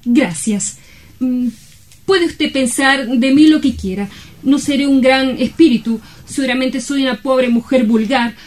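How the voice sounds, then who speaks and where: Woman acting high-voiced melancholy romantic lady. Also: unhurried. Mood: sheepish. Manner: wistful, affected, dramatic. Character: melancholy romantic lady, dramatic and world-weary. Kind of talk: theatrical dialogue from a comic stage play.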